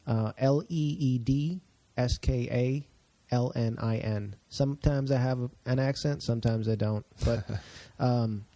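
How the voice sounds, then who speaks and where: Mellow-voiced male podcast host. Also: mid-range voice, measured pace, hardly any pauses, no echo. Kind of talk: casual interview talk.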